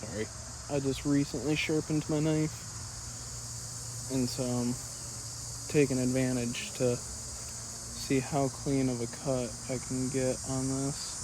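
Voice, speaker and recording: A man's voice: mid-range voice, level tone, takes it slowly, pauses often. A man talking in a casual, rambling, slangy vlog style, chatting to viewers as he goes. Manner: laid-back slangy talk, calls listener dude. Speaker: vlogger. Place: outdoors in a yard. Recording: outdoor recording with clear insect and ambient noise.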